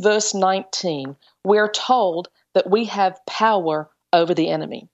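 A woman's voice: fairly low pitch, lo-fi sound. A woman giving an earnest spiritual teaching as a Bible teacher, explaining carefully and stressing key phrases.

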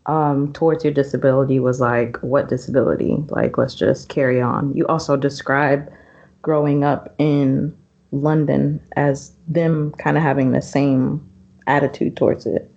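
A woman's voice: sits low, steady tone, moderate pace, vocal fry, a little mellow, highs cut off. A woman hosting a travel podcast, asking her guest questions in a friendly, conversational way. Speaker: podcast host. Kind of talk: podcast interview.

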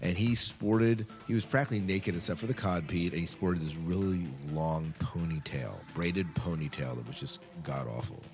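A man's voice: sits low, normal speed, hardly any pauses, darkish tone. A man co-hosting a podcast, talking casually and chattily to listeners, rambling a little and correcting himself as he goes. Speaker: podcast co-host.

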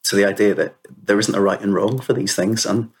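A man's voice: fairly low pitch, fairly even intonation, brisk, breathy edge. A man in his fifties with a Northern Irish accent, telling personal stories earnestly and conversationally as an interview guest. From Northern Ireland. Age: 50s. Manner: earnest, conversational, peppered with "you know". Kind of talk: reflective personal storytelling in conversation.

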